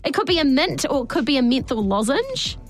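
A woman co-hosting a radio show, slightly hoarse from losing her voice, lively and quick to laugh. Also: high voice, soft background music. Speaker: radio co-host. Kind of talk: casual radio chat. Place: radio studio.

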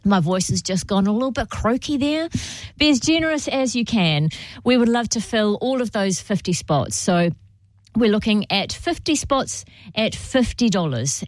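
A woman's voice: mid-range voice, expressive, normal speed, no echo. A woman hosting a radio fundraising drive, upbeat and persuasive. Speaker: radio host. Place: radio studio.

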